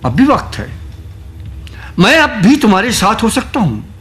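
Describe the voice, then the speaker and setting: A man's voice: high-pitched, wildly swooping pitch, fairly bright tone, soft background music, exaggerated voice. An actor playing a forsaken Ideal in human voice, a solemn, persuasive spirit who repeats his words in theatrical radio drama style. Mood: urging. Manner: deliberate, echoing his own words, persuasive.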